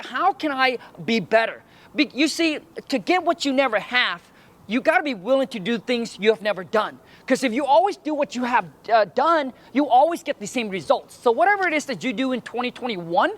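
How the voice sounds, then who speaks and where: A man's voice: extremely high pitch, fairly bright tone. A man from Vietnam, giving motivational business advice to camera in an earnest way, often tagging his points with "right?" and "my friend.".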